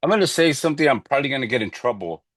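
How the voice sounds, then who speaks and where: A man's voice: mid-range voice, expressive, rapid-fire, a bit bright, no room sound, studio quality. A Mexican American man from Southern California, a podcast guest who jokes playfully and drops Spanish phrases into casual chat. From El Monte, California.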